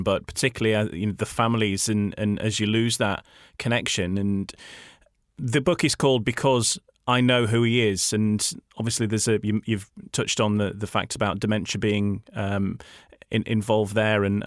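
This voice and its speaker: A man hosting a radio interview, speaking in a halting way and repeating words as he forms his questions. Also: lowish voice, steady tone, no echo, clean studio sound.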